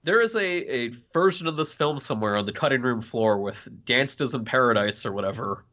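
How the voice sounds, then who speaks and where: A man with a bright, forward voice at a medium pitch co-hosts a casual review podcast. His delivery is wry and understated, like relaxed banter between co-hosts. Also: a little fast, highs cut off. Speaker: podcast co-host. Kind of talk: casual film review chat.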